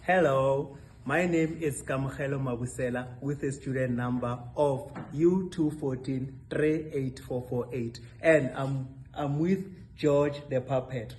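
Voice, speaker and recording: A young man presents himself as a student puppeteer. His voice is of average pitch, with slight noise in the recording. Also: measured pace, a little mellow. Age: young adult. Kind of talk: self-introduction to an audience.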